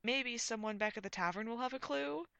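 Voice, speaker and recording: Woman as tipsy male knight, cheeky, slurred drawl, bright tone, fantasy storybook. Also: on the low side, fast talker, vocal fry, no echo, muffled recording. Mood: tipsy, amused.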